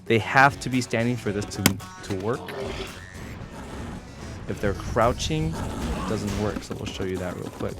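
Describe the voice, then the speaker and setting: A man with a fairly deep voice talks through a fighting game demonstration. His delivery is casual and explanatory, unscripted, with some hesitation as he goes. Music plays clearly behind him. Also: a little slow, long pauses. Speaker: competitive gamer demonstrating a character.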